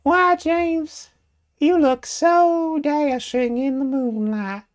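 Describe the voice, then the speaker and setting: A woman's voice: high voice, fairly even intonation, drawn-out words, voice pushed up. Woman doing a coy genteel young lady. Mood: genteel sweet. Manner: old-fashioned genteel, hesitant and coy. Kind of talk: comic storytelling.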